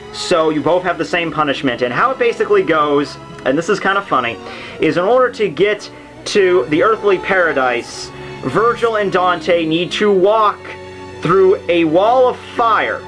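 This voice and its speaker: Man, fairly high pitch, casual unscripted lecture, rambling, jokey asides, background soundtrack. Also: animated, measured pace, fairly bright tone, poor audio. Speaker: host of a literature summary series. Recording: music playing under the voice. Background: background soundtrack music.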